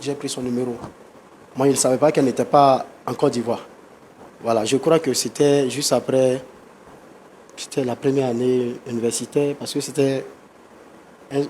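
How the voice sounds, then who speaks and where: A man who has the flu, a guest on a reconciliation talk show who gets defensive quickly and repeats himself. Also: medium pitch, darkish tone, slight background noise, rough recording.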